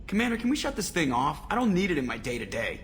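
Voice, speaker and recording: A man's voice: a little high, very animated, quick pace, fairly bright tone, a little hiss or hum. A man playing a cocky, grumbly starship pilot in a video game, with a sarcastic manner. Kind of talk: video game dialogue acting.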